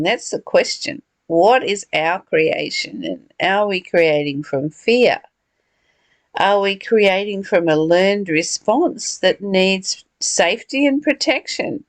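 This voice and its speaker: A woman's voice: medium pitch, dry recording. A woman leading a reflective spiritual discussion, earnest and given to rhetorical questions and repeated phrases. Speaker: study group leader.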